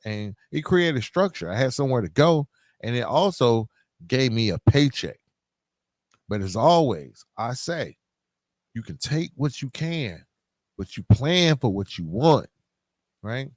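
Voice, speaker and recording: A man's voice: medium pitch, dry recording, studio quality. A middle-aged man hosting a podcast, talking informally and directly to his listeners in a conversational solo monologue. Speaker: podcast host.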